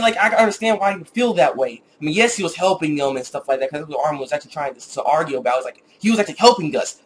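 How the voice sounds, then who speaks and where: A man with a slightly high voice talks very quickly in a casual, off-the-cuff review. He rambles in an animated way as he retells scenes, and there is clear background noise on the recording. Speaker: anime reviewer with his own video channel.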